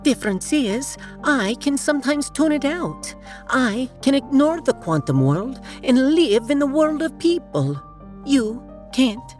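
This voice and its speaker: A man's voice: very high voice, very animated, measured pace, soft background music, exaggerated voice. A man voicing a practical, teasing female mathematician with a Russian accent and chiding manner in a sci-fi audio drama. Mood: firm, proud. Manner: chiding, no-nonsense.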